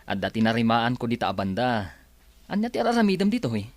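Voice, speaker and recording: A man's voice: medium pitch, huge pitch swings, fast, dry recording. An actor with an Ilocano accent playing a confident, polite young handyman in a radio drama. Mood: coaxing. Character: Ronnie, confident, respectful yet forward young handyman. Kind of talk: Filipino radio drama acting.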